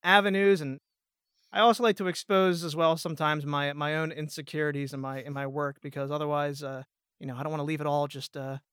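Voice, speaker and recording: A man's voice: a little high, level tone, a little fast, fairly bright tone, smooth, no echo, clean studio sound. A man talking casually as a guest in an interview, explaining things in a rambling way. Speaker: voice actor guest.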